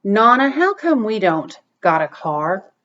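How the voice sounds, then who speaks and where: A woman's voice: mid-range voice, very animated, unhurried, fairly bright tone. A woman voicing a sulky young boy in a storybook, with plaintive, childlike questioning, on a dull recording. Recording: dull, muffled lo-fi sound.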